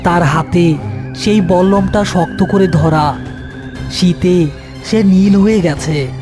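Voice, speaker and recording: A man's voice: high-pitched, takes it slowly, over music. A man giving scripted narration as the storyteller of a horror tale.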